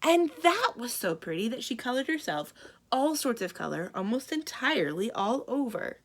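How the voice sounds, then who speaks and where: Animated, higher-pitched woman reading a storybook. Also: moderate pace. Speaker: library storyteller. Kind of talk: expressive children's picture book read-aloud.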